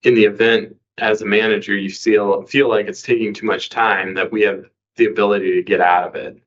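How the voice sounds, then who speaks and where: A man on a county board speaks in a measured, plain way. His voice is low-pitched and flat. He sits off mic, and the recording sounds dull and lo-fi. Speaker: board member.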